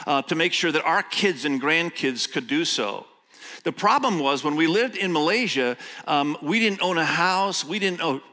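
Middle-aged male guest pastor, Indonesian native speaker, bright forceful voice, emphatic sermon storytelling. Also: on the high side. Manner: storytelling, slow emphatic scripture reading, quick asides. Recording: long church hall reverb.

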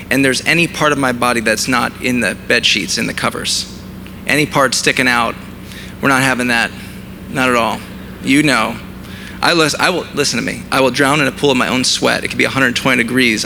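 Hoarse thirties pastor, gravelly mid-pitched voice, self-mocking humor; recorded over a steady noisy hum. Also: male voice. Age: 30s. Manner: self-deprecating humor, conversational preaching. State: hoarse, losing his voice. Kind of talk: sermon.

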